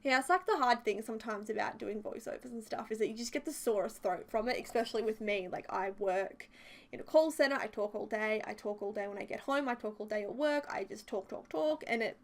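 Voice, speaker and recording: A young woman with a slightly croaky, sore throat, chatting casually and rambling through a voice-over about her build. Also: fairly high pitch, brisk. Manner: chatty and rambling, many fillers and side notes. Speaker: video creator narrating a build.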